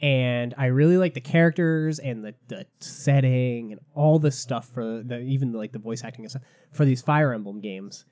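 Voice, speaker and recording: A man hosting a casual gaming podcast, a little sick and raspy, chatty and loose, laughing often. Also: mid-range voice, normal speed, darkish tone. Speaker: podcast host.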